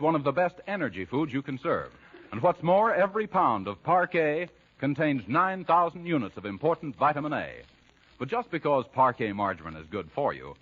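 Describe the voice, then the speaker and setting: Animated, husky 1940s radio announcer man. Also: medium pitch. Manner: polished, emphatic salesmanship. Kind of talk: 1940s radio scripted announcing, sales pitch. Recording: muffled 1940s radio recording.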